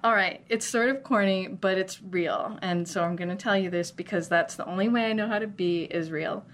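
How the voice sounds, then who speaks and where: A woman's voice: medium pitch, normal speed. An American woman from Minnesota telling her story as an interview guest in an earnest, reflective, conversational way. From Minnesota, United States. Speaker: interview guest, chocolate business owner. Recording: clear background noise, room ambience.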